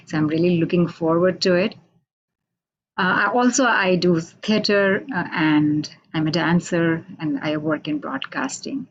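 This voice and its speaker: A woman from India with an Indian accent, a Hindi native speaker, giving a halting, unhurried poetry reading over a video call from a radio station office. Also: on the low side, muffled recording, a little hiss or hum. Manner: unhurried, halting, with many fillers. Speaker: poet.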